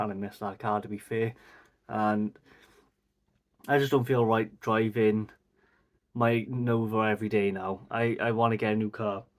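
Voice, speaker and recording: A Welsh man in his twenties from South Wales, rambling through a casual Q&A vlog to a mic and camera with lots of fillers. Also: lowish voice. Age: 20s. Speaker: vlogger. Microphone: mic facing camera.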